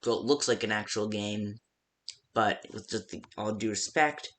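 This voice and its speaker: A man with a somewhat low-pitched voice talks through a homemade game guide as if leaving a casual phone message. He speaks in a loose, improvised way. His sentences halt, double back and start over as he rambles along. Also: a bit bright. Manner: rambling, halting, self-interrupting with stammered restarts. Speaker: creator of a fan-made game parody, voicing its phone-guide messages.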